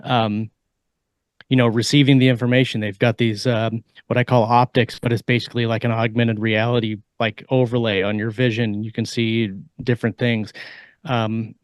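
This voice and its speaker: A man in a casual podcast discussion. His delivery is halting as he works through his explanation. The sound is dry, with hardly any room on it. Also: mid-range voice, flat delivery, clear, resonant tone, no room sound. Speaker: podcast co-host. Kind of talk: casual discussion of military technology.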